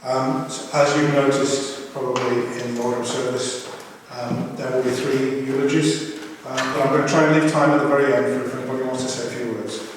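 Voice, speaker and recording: A man leads a funeral service with an informal welcome speech, halting and searching for his words. He is picked up from far off in a chapel with heavy echo, with faint noise in the recording. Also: mid-range voice, little pitch movement. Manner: hesitant, halting. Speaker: family member leading a funeral service. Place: crematorium chapel. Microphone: distant camera at the back of the room. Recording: very echoey chapel, distant mic, faint noise.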